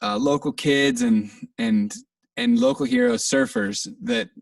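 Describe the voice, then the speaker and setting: A man with a gravelly, rough edge and a lively, swinging pitch talks in an easygoing way, restarting his thoughts. He sounds set back from the mic in a dry, dead room. It comes across as a band member chatting with a radio host. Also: measured pace, no echo, distant mic. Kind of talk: casual interview chat.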